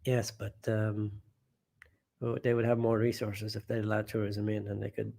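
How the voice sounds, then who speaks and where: A man's voice: on the low side, completely flat delivery, moderate pace, vocal fry, dark tone. A man hosting a casual live stream from home, reading viewer comments aloud and rambling, often trailing off and restarting.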